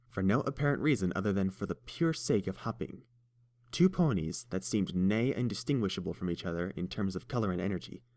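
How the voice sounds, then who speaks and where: A man narrating a story in a steady, even storytelling manner. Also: lowish voice. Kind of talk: fan audiobook narration.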